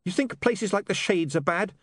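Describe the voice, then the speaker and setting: A man's voice: high-pitched, animated, normal speed, fairly bright tone, no room sound, studio quality, voice pushed up. A man voicing an earnest, bitter young man of privileged birth in an audiobook, with a clipped, emphatic way of talking. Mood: agitated, bitter.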